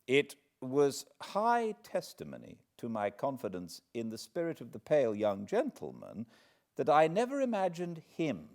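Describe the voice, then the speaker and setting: A British man reading classic literature aloud as a narrator, in a measured, dramatic manner with deliberate pauses. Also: lowish voice, a little mellow. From Britain. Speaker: audiobook narrator, host of fireside readings. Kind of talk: expressive literary storybook reading.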